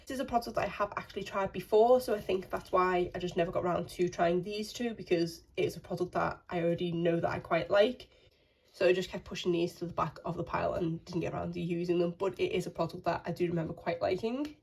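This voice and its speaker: A woman beauty vlogger, croaky from a cold, chatting casually to a handheld phone. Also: lowish voice, fairly even intonation, fast, darkish tone. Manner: apologetic, chatty, rambling. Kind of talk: casual chat to camera. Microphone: phone held in hand.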